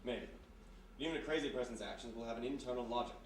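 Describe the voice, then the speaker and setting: A man playing a quick-thinking, commanding private detective in a period radio mystery, forceful and analytical. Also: mid-range voice, natural voice. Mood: reasoning. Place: live performance in a historic house. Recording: echoey live stage, distant, faint noise.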